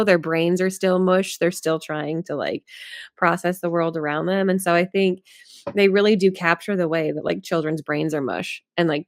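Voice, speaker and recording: A woman with a fairly low, noticeably creaky voice talks casually as a podcast co-host. She is informal and giggly, leaning on filler words, and the sound is close and dry. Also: no room sound. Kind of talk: casual chat.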